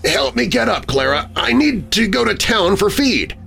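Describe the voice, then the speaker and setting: A man voicing an older farmer, gruff and frightened, stammering and growling through his pain in a horror story. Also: sits high, sing-song, normal speed, pushed and bright, slight background noise, pitched above the performer's own voice, exaggerated voice. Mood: angry, pained. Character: agonized older rural farmer father, gruff, frightened, angry.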